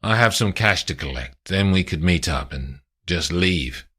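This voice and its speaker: A man reading aloud voices a hunted crime boss who speaks in a measured, quietly threatening way. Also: low-pitched, expressive, breathy edge, heavily acted. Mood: calm menace. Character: hunted male crime boss, calm and menacing.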